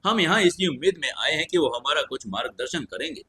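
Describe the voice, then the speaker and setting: A man's voice: fairly high pitch, animated, brisk, pushed and bright, breathy edge, heavily acted. A man voicing a haughty, mocking young female magic student in an audio story, with a scornful, condescending manner.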